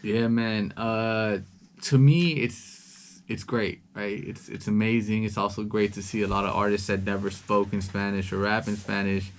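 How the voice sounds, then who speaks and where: Very low-voiced man, relaxed and rambling. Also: normal speed, a little hiss or hum. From Los Angeles. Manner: relaxed, rambling, many ums and repeated words. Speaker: interview guest, rapper. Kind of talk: casual interview chat.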